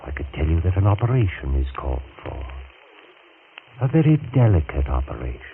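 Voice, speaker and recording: An actor playing a smooth, vindictive middle-aged doctor in an old radio thriller, speaking in a measured, insinuating, menacing way. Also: male voice, rumbling low, very animated, full character voice. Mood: bitter, menacing. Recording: tinny, noisy vintage radio recording.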